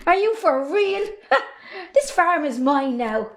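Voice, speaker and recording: A woman's voice: very high voice, big pitch swings, slow, heavily acted. A young Irish woman acting a defiant, plain-spoken farm daughter in a stage drama. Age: young adult.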